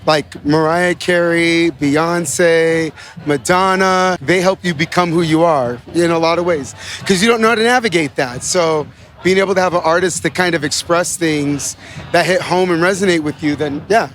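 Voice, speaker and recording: A man's voice: fairly high pitch, normal speed, fairly bright tone, slight background noise. A man from Los Angeles, earnest and sincere, interviewed outdoors. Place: outdoors at a Pride event.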